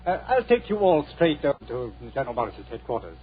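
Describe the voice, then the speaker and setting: An actor playing a polite, earnest young army captain in a 1940s radio drama, courteous and officious. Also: male voice, mid-range voice, huge pitch swings, brisk, over loud music. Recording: thin tinny old radio transfer.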